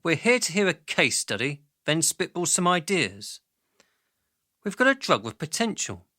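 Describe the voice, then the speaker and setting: An actor playing a brash, crude pharmaceutical boss with a breezy, domineering manner in a radio drama. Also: male voice, fairly high pitch, sing-song, normal speed, pushed and bright, no echo, clean studio sound.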